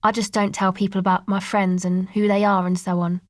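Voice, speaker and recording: A woman's voice: mid-range voice, little pitch movement, fairly quick, dry recording, close to an everyday voice. An actress playing a guarded, laid-back young woman who leads a streetwise crew in a video game, speaking casually with dry understatement. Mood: guarded, cool. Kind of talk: video game dialogue acting.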